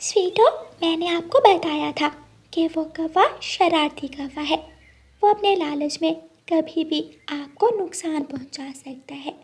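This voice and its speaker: Woman as a very high-voiced earnest little bird. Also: a little slow. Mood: chiding. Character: loyal, caring little female bird. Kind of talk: cartoon story dialogue.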